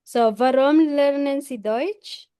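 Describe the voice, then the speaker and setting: A woman's voice: sits high, takes it slowly, mellow, dry recording, studio quality. A woman teaching German, patiently rephrasing her questions and switching to Tagalog to help a student. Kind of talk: classroom questioning.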